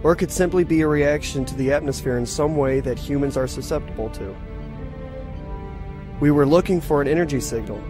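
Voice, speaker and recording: A man plays a formal, matter-of-fact starship officer in a science fiction audio drama. His voice sits a little high and sounds earnest. Music is clearly heard behind him. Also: fairly quick, natural voice. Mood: formal, measured.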